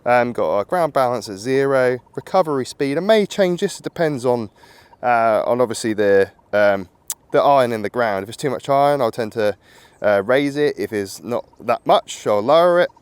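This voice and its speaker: Husky-voiced man with a cold, chatty. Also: medium pitch, lively intonation, moderate pace, no room sound. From England. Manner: chatty, rambling, upbeat, laughs often. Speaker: metal detecting vlogger. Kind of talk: casual outdoor vlog chat. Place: open wet field outdoors.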